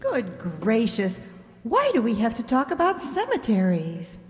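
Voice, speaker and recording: A woman's voice: huge pitch swings, measured pace. An older woman playing a cheery, prim sponsor announcer in 1940s radio style, chatty and folksy. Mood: prim, chiding. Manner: chatty, folksy sales pitch. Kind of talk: 1940s radio commercial acting. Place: on stage in a community hall. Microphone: stand microphone. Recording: echoey hall stage.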